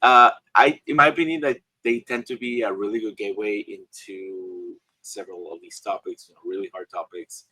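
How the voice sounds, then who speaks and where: A man with a low, bright, forward voice talks haltingly on a panel, dropping in lots of ums and restarting his sentences. The sound is dry and close, with no room around it. He is from Guatemala. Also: steady tone, dry recording, rough recording. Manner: hesitant, many fillers and restarts. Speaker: author and illustrator panelist. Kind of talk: casual panel discussion.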